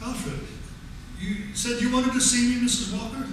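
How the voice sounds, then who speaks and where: A man's voice: extremely high pitch, fairly even intonation, normal speed. A man playing a jolly, courteous old Santa Claus in a staged radio play. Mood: gentle, earnest. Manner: chuckling, courteous, grandfatherly. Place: theatre stage, live performance. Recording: echoey theatre recording from far, noisy.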